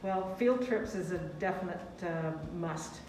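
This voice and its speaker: A woman's voice: fairly low pitch, unhurried, a little mellow, slight background noise. A woman speaking as president of a photography club in an interview, friendly and plain-spoken. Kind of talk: casual interview. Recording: echoey room, far from mic, with music.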